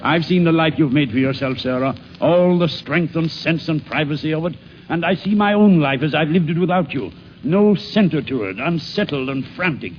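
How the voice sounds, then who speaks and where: An actor playing a confident middle-aged newspaper owner courting an old flame, earnest and courtly in 1950s radio drama style. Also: male voice, fairly high pitch, expressive, moderate pace, lightly acted. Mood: pleading, tender. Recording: dull, noisy vintage radio recording.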